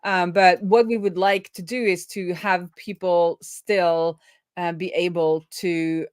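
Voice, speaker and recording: A woman's voice: on the low side, steady tone, slow pace, darkish tone, clean tone, dry recording, clean studio sound. A woman hosting a live coding stream in casual chat, informally thinking aloud as she explains. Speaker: live stream host, web developer.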